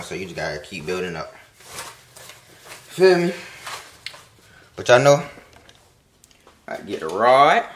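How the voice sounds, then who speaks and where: A young man rambles to his phone camera in a relaxed, slangy, encouraging way. His pitch swings up and down a lot as he talks, and there is a faint hiss of noise behind him. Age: young adult. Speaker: vlogger. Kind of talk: casual vlog talk to camera.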